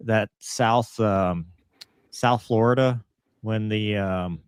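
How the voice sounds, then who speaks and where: A man hosting a casual aviation discussion, speaking in a halting, earnest, conversational way. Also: fairly low pitch, smooth, dry recording, studio quality. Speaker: show host and commentator.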